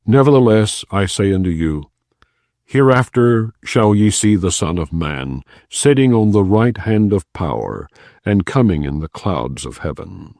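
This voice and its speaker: Man reading scripture real slow, deep mellow voice, dry close sound. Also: no room sound. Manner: measured, solemn delivery with lifts on quoted speech. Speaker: scripture reader.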